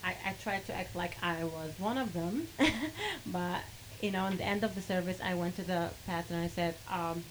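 Arabic-accented woman telling her story, halting, lots of ums, noisy background. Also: lowish voice. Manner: halting, many fillers, laughs between sentences. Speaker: guest sharing a testimony. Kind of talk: personal storytelling.

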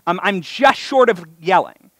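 A man's voice: high-pitched, animated, measured pace, a bit bright, no echo. A man with a North American accent gives a conversational, story-driven sermon as a rabbi, with wry, self-deprecating humour. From North America (US and Canada). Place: live synagogue service.

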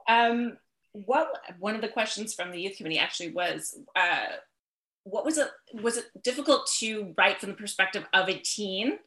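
A woman's voice: medium pitch, animated, moderate pace, pushed and bright. A woman hosting an interview, asking questions and laughing along encouragingly. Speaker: interviewer, library host. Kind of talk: casual interview. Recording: echoey room.

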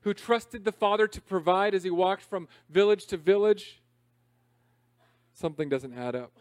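A man in his thirties preaching a sermon in a conversational, self-deprecating way, swinging between loud emphasis and quiet asides. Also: high-pitched, normal speed, dry recording, clean studio sound. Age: 30s. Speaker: pastor.

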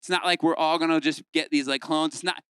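A young man gives a lively, joking sermon to a youth group in a fairly high, crisp voice. The sound is close and dry, with no room around him. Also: fast, no echo. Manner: lively, playful, acts out quoted voices. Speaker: youth pastor.

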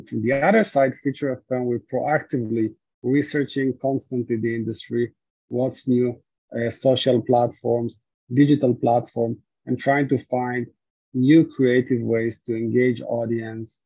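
A man's voice: medium pitch, little pitch movement, a little slow, creaky, warm tone, husky, no echo. A man from Israel being interviewed about his startup, answering at length with frequent fillers and restarts. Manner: hesitant, many fillers and restarts. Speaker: guest, startup founder. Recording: dull lo-fi sound.

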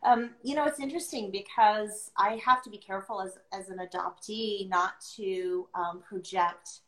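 Earnest woman in a roomy, echoing space. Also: mid-range voice. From born outside the US, adopted into America. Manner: earnest, deliberate phrasing with pauses. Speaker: podcast host sharing her experience as an adoptive mother. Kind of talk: reflective conversational talk on a livestream.

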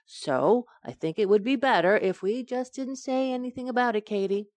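A woman's voice: fairly high pitch, moderate pace, darkish tone, no room sound, natural voice. A woman playing a scatterbrained, coaxing young wife in an old-time radio sitcom, with a breezy, innocent comic delivery. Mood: breezy, confiding.

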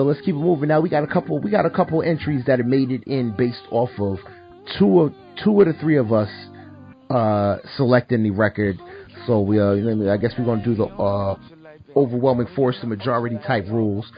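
A man's voice: mid-range voice, fairly quick, dull audio, faint music behind. A man hosting a casual podcast chat about rap songs, laid-back and swearing now and then. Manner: laid-back, swears, says "my bad" and "word up" a lot. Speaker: podcast host.

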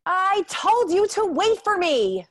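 Mother role for radio play, woman actor, high lively voice, affectionate yet scolding. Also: takes it slowly, no echo, studio quality, full character voice. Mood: scolding, loud. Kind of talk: radio drama dialogue.

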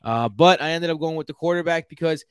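Chatty man with a slightly high voice. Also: fast talker, smooth, dry recording, studio quality. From Houston, Texas. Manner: chatty, rambling, repeats words while thinking. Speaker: podcast co-host. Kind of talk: casual sports podcast chat.

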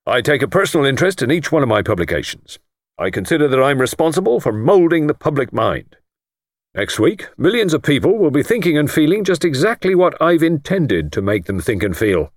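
A man's voice: a little high, sing-song, fairly quick, dry recording, clean studio sound, pitched above the performer's own voice. A man voicing a pompous, boastful self-made older lord who talks in a blustering, self-satisfied way.

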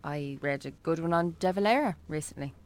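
A woman acting as a composed, bookish Irish shop worker. She has a slightly low voice and a friendly, natural delivery suited to a radio play. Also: brisk, lightly acted.

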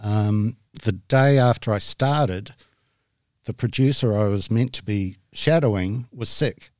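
A man's voice: fairly low pitch, unhurried, darkish tone, no room sound. A middle-aged man with a New Zealand accent, a podcast guest telling his story in a hesitant, self-deprecating way with many ums. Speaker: guest, media director. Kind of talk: casual interview talk.

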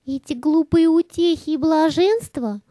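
A scheming she-cat from a fairy-tale audio play, acted by a woman. The voice is high yet mellow, recorded close and dry. It is contemptuous and coolly calculating. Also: measured pace, dry recording. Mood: scornful, scheming. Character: scheming, scornful female cat, sly predator.

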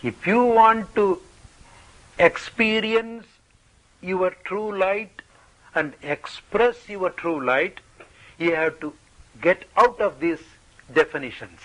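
A man with a high, bright voice gives a spiritual talk in English. He speaks in short, playful phrases and often asks questions. He stops after each phrase so an interpreter can translate it. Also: extremely slow, pauses often, rough recording. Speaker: spiritual teacher.

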